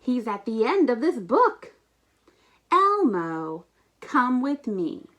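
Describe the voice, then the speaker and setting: A woman's voice: a little high, very animated, takes it slowly, a bit bright, exaggerated voice. A woman voicing an anxious, exasperated male furry monster from a children's storybook, theatrical and pleading. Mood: alarmed. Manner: exasperated, emphatic, theatrical delivery.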